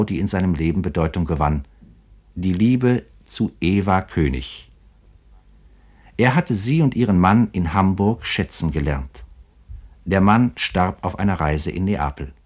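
A man's voice: deep voice, unhurried, darkish tone. A man narrating scripted literary commentary for a German radio feature, with emphatic, literary phrasing.

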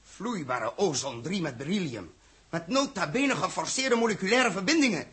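Een acteur die in een hoorspel uit de jaren zestig een felle, verontwaardigde ruimtekapitein speelt, gepassioneerd en beschuldigend. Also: male voice, high-pitched, animated, moderate pace, a bit bright, husky, heavily acted. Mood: indignant, accusing. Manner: impassioned, accusatory, rhetorical. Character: fiery, indignant male space captain confronting the government. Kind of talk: 1960s Dutch radio drama acting.

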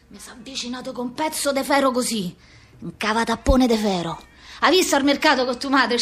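A woman's voice: sits high, sharp tone. A woman playing a brash, foul-mouthed young street girl with a Roman accent, in a blunt, agitated theatrical monologue.

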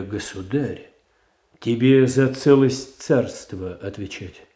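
An actor playing a stern, calculating royal adviser in a radio stage tragedy, with measured, formal delivery. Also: male voice, mid-range voice, dark tone. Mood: stern ultimatum. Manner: measured, formal, weighty declamation. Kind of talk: classical Russian stage tragedy in radio adaptation. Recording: roomy, echoing stage sound.

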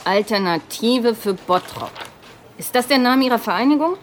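An actress in a radio drama playing a patient, dry, officious town office clerk. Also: female voice, on the high side, lively intonation, normal speed, slight background noise, close to an everyday voice. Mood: patient, puzzled. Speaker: registration clerk. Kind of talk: naturalistic radio drama dialogue.

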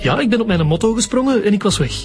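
Evasive young male witness, Flemish, high voice, hesitant, nervous, radio drama. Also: expressive, fast, faint music behind. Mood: uneasy. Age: young adult. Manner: hesitant, defensive.

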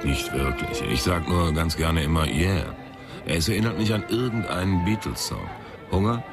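Over clear background music comes a very deep-voiced hard-boiled male sleuth. He talks in a laconic, deadpan way, with sardonic wit, as in noir radio crime drama. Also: normal speed. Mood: dry, sardonic. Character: wisecracking deadpan New York private sleuth, self-styled tough guy. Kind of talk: radio crime drama, first-person noir narration and dialogue. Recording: music under the voice.

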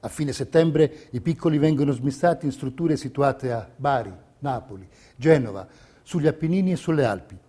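A man narrating a scripted documentary theatre piece in a measured, declamatory manner. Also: medium pitch, mellow. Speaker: stage narrator.

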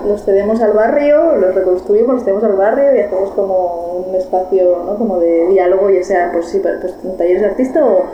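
A woman's voice: medium pitch, brisk, few pauses, highs cut off. A woman casually explaining her ideas in unscripted speech. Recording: echoey space with faint background noise.

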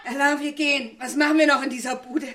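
An actress playing a drunk, tearful, combative wealthy wife in a stage-like drama, shrill and vulgar in her indignation. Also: fairly even intonation, fast talker, bright, forceful tone. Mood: hysterical fury.

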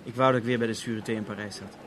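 Urbane, wry police officer, played by an actor, noisy recording. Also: male voice, fairly low pitch, steady tone, natural voice. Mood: wry, musing. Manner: measured, formal, wordy, dryly ironic. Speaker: radio drama actor. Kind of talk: 1970s Dutch radio drama acting. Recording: noisy old radio play recording.

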